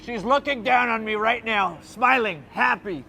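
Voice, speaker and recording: A very high, creaky voice of a brash older politician. He taunts and wheedles, repeating his phrases like a street heckler. It is recorded outdoors in the city. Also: measured pace, sharp tone, slight background noise, exaggerated voice. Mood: boastful, mocking. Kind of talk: street prank heckling in character.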